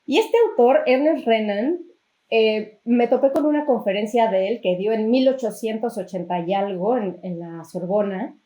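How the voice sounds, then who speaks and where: A woman's voice: a little high, normal speed, warm tone. A woman with a Mexican accent hosting a podcast, explaining things conversationally with strings of examples. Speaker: podcast host. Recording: roomy, echoing sound.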